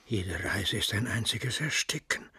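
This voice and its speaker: A bitter, jealous older man in a radio drama. His husky voice is bone-dry and highly animated. He is obsessive and volatile, brooding over his grievance. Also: a little slow, dry recording, exaggerated voice. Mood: bitter, haunted. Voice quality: husky, raspy. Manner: brooding, volatile, from hushed to outburst. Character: bitter, tormented jealous husband, aging and obsessive. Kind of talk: German radio drama acting.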